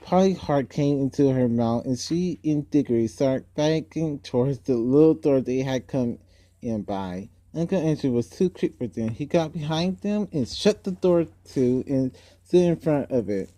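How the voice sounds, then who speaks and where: A man's voice: medium pitch, animated, moderate pace, warm tone, no echo. A man reading a story aloud in an informal way, stumbling over words now and then. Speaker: amateur book reader. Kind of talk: read-aloud storytelling with casual asides.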